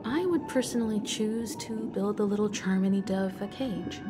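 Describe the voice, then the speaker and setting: A woman plays a reserved, unsentimental heroine in a video game. Her pitch is middling and her delivery composed and matter-of-fact. Music is clearly heard underneath. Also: normal speed, close to an everyday voice. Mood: calm, pragmatic. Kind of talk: video game cutscene acting.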